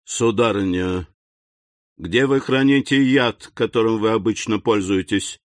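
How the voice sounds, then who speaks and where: A man reading the part of a stern, cold middle-aged royal prosecutor who speaks in an icy, deliberate, accusing manner. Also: on the low side, no room sound, natural voice. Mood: cold interrogating.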